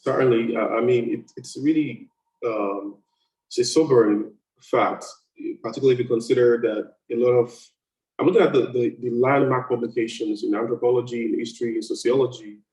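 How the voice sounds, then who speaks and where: Male moderator, mid pitch, hesitant and measured, distant from the mic with room echo. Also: dark tone. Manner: hesitant, measured, reads audience comments. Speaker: panel moderator. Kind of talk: moderating a discussion. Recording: roomy, far from the mic.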